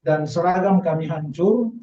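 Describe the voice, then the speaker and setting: A man gives an emphatic church testimony in short, halting phrases, speaking as a guest before a congregation. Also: a little high. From southern Philippines, mixed Indonesian family. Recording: distant, roomy, dull lo-fi sound.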